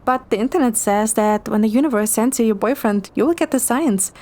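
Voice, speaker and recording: A woman's voice: a little high, a little fast, dark tone. A young woman narrating a romantic story in the first person and acting out dialogue, over music. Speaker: amateur narrator and voice actor. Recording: music behind the voice.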